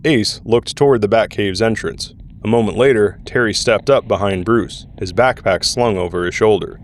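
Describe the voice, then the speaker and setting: A man's voice: fairly low pitch, moderate pace, slight background noise. A man reading scripted narration for an audio drama. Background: background music.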